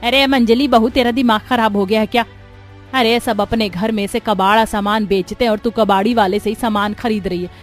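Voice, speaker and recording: A woman's voice: fairly high pitch, steady tone, a little fast, faint music behind, lightly acted. A woman voicing a blunt, grumbling old mother-in-law in a cartoon about a poor family. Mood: scolding. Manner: scolding, blunt. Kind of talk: Hindi cartoon story acting.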